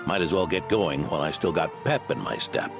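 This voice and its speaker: A man's voice: deep voice, fairly quick. An actor playing a genial, jaunty older knight captain in a video game, grandfatherly and undaunted. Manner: jaunty, reassuring. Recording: game music underneath.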